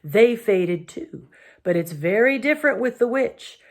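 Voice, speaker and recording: A woman's voice: medium pitch, expressive, measured pace, voice pushed up. A defiant male dwarf rebel from a fantasy storybook, acted out by a woman. He argues bitterly in a lifted, emphatic voice, cold and full of scorn. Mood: scornful. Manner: sneering, argumentative, emphatic.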